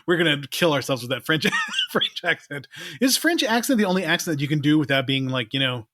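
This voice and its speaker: A middle-aged man chatting as a podcast co-host, laughing through his words. His voice sits a little high and swings widely in pitch. The sound is dry and close, with no room around it. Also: a little fast, no echo. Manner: laughs often, animated and giggly. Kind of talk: casual chat.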